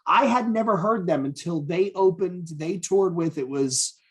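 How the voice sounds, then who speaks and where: A man's voice: sits high, unhurried, breathy edge. A man co-hosting a podcast in casual, profane, enthusiastic chat about what he is a fan of. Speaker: podcast co-host.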